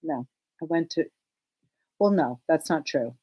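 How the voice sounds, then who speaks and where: A woman's voice: low-pitched, lively intonation, a little slow, breathy edge, highs cut off. A woman whose first language is Hebrew, co-hosting a casual knitting podcast and chatting with a laugh. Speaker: podcast co-host. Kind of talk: casual chat.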